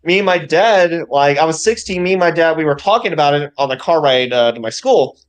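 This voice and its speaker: Young man, from United States, casual storytelling, rambling, self-laughing, animated pitch. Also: fairly quick, clean tone. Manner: rambling, lots of fillers and laughs. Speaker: guest storyteller. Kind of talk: casual chat.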